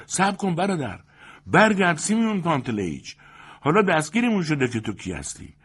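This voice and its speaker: A man voicing an excitable, smug groomsman in a story, loud, effusive and insistent. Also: on the high side, husky, voice pushed up, heavily acted. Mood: pleading, insistent. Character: excitable groomsman, smug bully turned flustered, pleading flatterer.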